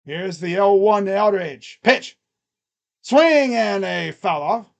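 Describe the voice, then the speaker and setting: Loud, booming baseball stream commentator. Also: slow pace, creaky. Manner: relaxed, chatty, hums between pitches. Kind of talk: casual live play-by-play reaction.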